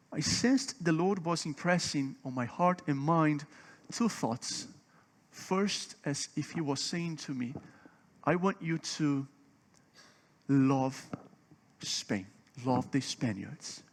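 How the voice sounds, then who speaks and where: Man preaching emphatically. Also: fairly high pitch. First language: Portuguese. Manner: emphatic, swelling louder on key points; asks the audience questions. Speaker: seminar speaker. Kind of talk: conference seminar teaching.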